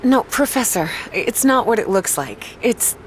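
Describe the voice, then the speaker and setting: Young woman, fairly high voice, very lively intonation, urgent, emotional, game companion. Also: unhurried, a little hiss or hum. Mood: flustered, confused. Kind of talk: cinematic game voice acting.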